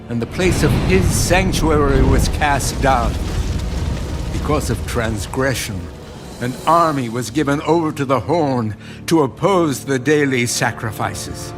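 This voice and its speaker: A man reads scripture as a solemn, earnest audiobook narrator. He takes his time at a slow pace, in a mid-pitched voice, with music clearly behind him.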